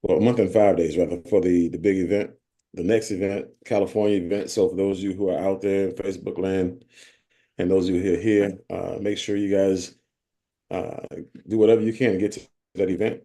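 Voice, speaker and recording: A man with a low voice hosts a casual team call. His tone is mellow and relaxed, and he rambles with many fillers and restarts. The call audio is plain. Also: fairly quick. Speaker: host of a sales team call.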